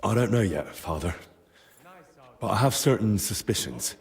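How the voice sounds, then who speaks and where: An actor playing an earnest, inquisitive young adventurer investigating in a medieval game, plain-spoken and probing. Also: male voice, lowish voice, animated, normal speed, vocal fry. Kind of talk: dramatic video game cutscene acting.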